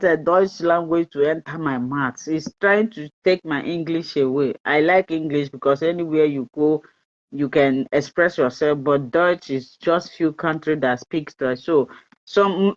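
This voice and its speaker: A Nigerian woman whose first language is Igbo, hosting a live-stream fertility talk in a chatty, repetitive way and slipping into pidgin. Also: low voice. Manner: chatty, repeats herself, mixes in pidgin, laughs often. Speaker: fertility channel host. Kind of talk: live-stream advice talk, reading viewer comments. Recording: dull lo-fi live-stream audio.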